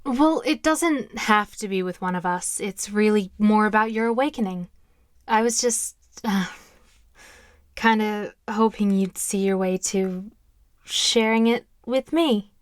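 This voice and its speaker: A woman playing a friendly, reassuring college-age witch in an audio drama, with a casual, soft-hearted manner. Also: mid-range voice, a little slow, no room sound, clean studio sound, close to an everyday voice. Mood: tender coaxing.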